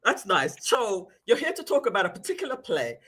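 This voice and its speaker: A woman's voice: mid-range voice, wildly swooping pitch, brisk, crowd noise. A woman hosting a casual TV interview in an agreeable, chatty way. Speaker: TV interview host.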